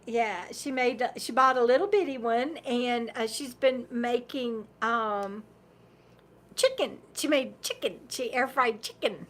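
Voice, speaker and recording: A woman's voice: fairly high pitch, measured pace. A woman in her fifties hosting a casual livestream, reading viewer comments, rambling and laughing often, losing her voice. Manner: rambling, chatty, laughs often, repeats words. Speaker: livestream host.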